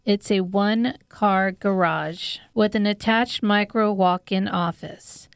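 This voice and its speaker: A woman's voice: mid-range voice, creaky, clear, resonant tone, no room sound, studio quality. A woman reading scripted audiobook narration of fiction. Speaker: author narrating her own audiobook.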